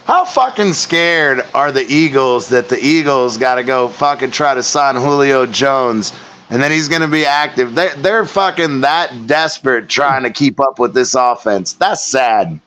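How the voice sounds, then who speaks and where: A man hosting a sports podcast, running the show with profane, quick banter. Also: mid-range voice, animated, a bit bright, a little hiss or hum. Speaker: podcast host. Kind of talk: rowdy sports chat.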